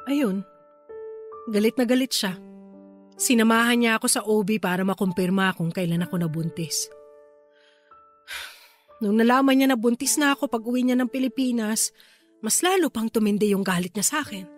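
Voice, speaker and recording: A woman's voice: fairly high pitch, normal speed, pauses often, faint music behind, lightly acted. A woman with a Filipino accent playing a distraught, regretful pregnant older sister in a radio drama, tearful and pleading. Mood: dejected.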